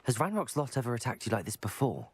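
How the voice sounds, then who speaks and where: A man's voice: medium pitch, big pitch swings, quick pace, slightly rough, no room sound. A man playing a polite, curious young student hero in a video game, with a courteous manner. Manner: courteous, inquisitive. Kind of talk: video game character acting.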